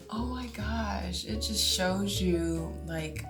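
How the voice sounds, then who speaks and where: A woman in her late forties talking casually to camera as a vlogger, chatty and often laughing, with drawn-out exclamations. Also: mid-range voice. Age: 40s. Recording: echoey room with music behind.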